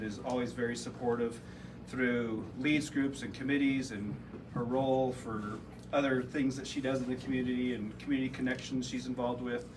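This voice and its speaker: A man with a Midwestern accent emcees a ribbon cutting for the chamber, informal and full of ums and uhs. He is picked up from across a noisy room at an average pitch. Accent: Midwestern American. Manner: joking then sincere, filler-heavy. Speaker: chamber of commerce representative. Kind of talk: casual ceremony emcee remarks. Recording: distant mic, noisy event room.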